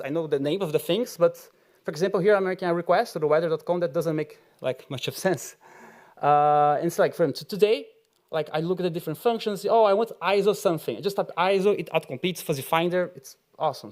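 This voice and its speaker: A man's voice: on the high side, a little mellow. A man from Brazil with a Brazilian Portuguese accent, giving a conference talk in a loose, chatty way full of fillers and small laughs. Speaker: conference speaker. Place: auditorium.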